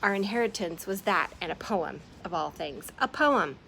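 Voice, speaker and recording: A woman's voice: animated, moderate pace, gravelly, bright, forceful tone, a little hiss or hum, heavily acted, rough recording. A woman reading an audiobook, voicing an angry young man who snarls and shrieks. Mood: bitter, snarling. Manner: snarling, shrill outbursts.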